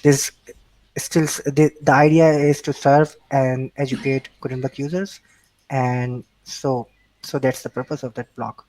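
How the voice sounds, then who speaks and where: A man's voice: mid-range voice, little pitch movement, a little slow, a little mellow, rough recording. A man from Pakistan with a Pakistani accent, a native Urdu speaker, answering interview questions in a hesitant way with many restarts and fillers. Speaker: interview guest. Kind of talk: casual remote interview.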